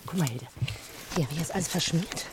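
A woman playing an excitable, chatty young member of an underground group in a radio drama. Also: deep voice, fairly quick, natural voice. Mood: flustered. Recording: clear background noise.

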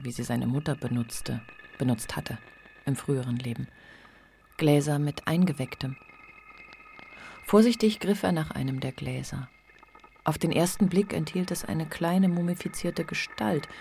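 A woman narrating a radio drama in a measured, observant literary storytelling manner. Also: sits low, gravelly, a little mellow, slight background noise.

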